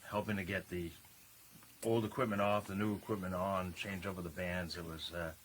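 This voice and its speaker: Man, low voice, bright tone, hesitant, casual band chat, faint noise. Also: little pitch movement, a little fast. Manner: hesitant, repeats words, short agreeing answers. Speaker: band member.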